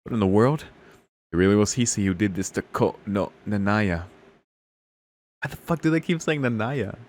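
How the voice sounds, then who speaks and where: A man streaming a visual novel, reading the text aloud in a casual way and reacting with incredulous, jokey swearing. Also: lowish voice. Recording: music playing behind the voice. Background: guitar music of a game soundtrack.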